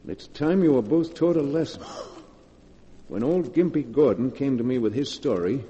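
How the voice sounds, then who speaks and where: A man's voice: medium pitch, lively intonation, a little slow, warm tone, close to an everyday voice. A man acting a tense, guilty lover trapped in a cave, with urgent theatrical delivery in a 1940s radio horror drama. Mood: grave reading. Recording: muffled vintage radio, long hall reverb.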